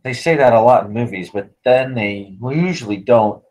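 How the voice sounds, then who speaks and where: A man's voice: medium pitch, animated, moderate pace, a little mellow, close to an everyday voice. A man at a script table read playing an anxious, broke student who is reluctant about becoming a father. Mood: hesitant.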